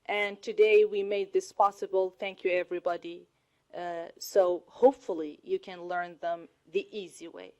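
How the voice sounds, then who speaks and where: A woman in her thirties whose first language is Arabic, giving a slow, deliberate talk to a college audience and laughing often. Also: on the low side, fairly even intonation, a little mellow, clear, resonant tone. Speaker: student speaker. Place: college hall.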